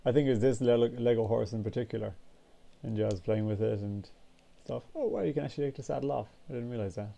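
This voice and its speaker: A man's voice: medium pitch, fast talker, vocal fry. A man, congested and sniffly, rambling casually in a vlog. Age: 20s. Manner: rambling, relaxed, casual swearing. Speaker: vlogger. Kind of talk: casual chat.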